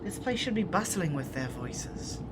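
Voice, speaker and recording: A woman's voice: low voice, sing-song, moderate pace, very noisy. A woman playing an earnest, persuasive exiled shapeshifter in a tabletop roleplay.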